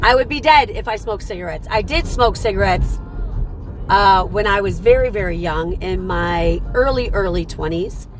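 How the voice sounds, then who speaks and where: A woman with a hoarse, rough, tired voice hosting a motivational live stream, talking earnestly and repeating her points. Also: medium pitch, animated, moderate pace, soft background music, poor audio. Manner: repetitive, earnest, coaching tone with many rhetorical "right?".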